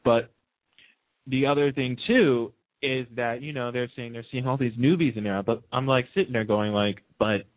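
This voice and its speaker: A man's voice: medium pitch, fairly even intonation, normal speed, no room sound. A man calling in to a radio show, chatting casually and emphatically as an opinionated fan, repeating phrases to drive his points home. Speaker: call-in guest on a radio show. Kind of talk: casual soap opera fan discussion. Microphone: phone. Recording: muffled, band-limited phone call.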